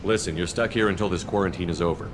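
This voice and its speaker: An actor playing a confident, steady military commander in a video game, direct and resolute. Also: male voice, sits low, fast talker, noisy background, close to an everyday voice.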